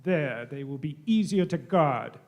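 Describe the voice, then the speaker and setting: A man's voice: on the high side, lively intonation, a little slow, dull audio. A man playing a dutiful ancient Egyptian overseer in a radio play, speaking formally and declamatorily. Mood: dutiful, grave.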